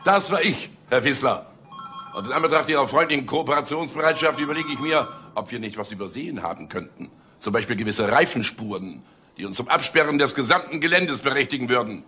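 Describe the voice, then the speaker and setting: An actor playing a bossy older chief inspector in a radio play, dry and sarcastic. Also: male voice, medium pitch, animated, fairly quick, sharp tone, soft background music. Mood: sardonic, commanding. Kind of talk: German radio play acting.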